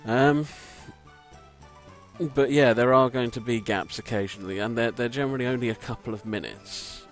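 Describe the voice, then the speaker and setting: A man with a croaky voice, giving rambling, self-deprecating gameplay commentary from a home mic and swearing casually. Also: mid-range voice, normal speed, faint music behind. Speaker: let's play commentator. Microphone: home desk microphone.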